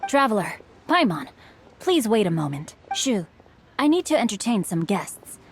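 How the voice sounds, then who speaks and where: A woman's voice: medium pitch, sing-song, normal speed, soft background music, lightly acted. A woman voicing a composed, bookish teenage merchant heir in a video game, with formal, articulate speech. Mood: polite. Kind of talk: scripted video game dialogue.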